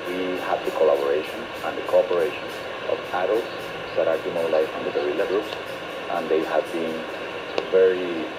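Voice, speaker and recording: A man's voice: sits low, steady tone, brisk, echoey room. A man, an official answering in a radio news interview over shortwave. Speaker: government official interviewed for a report. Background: loud radio static.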